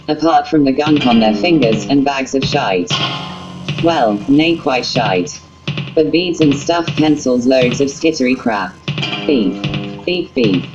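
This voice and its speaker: Female narrator, very low voice, flat synthetic reading, scripted storytelling, guitar music underneath. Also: dull audio.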